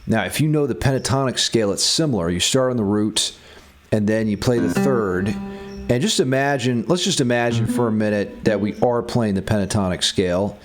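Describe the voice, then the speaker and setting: A man's voice: lowish voice, normal speed. A man giving a casual music theory lesson as a guitar teacher.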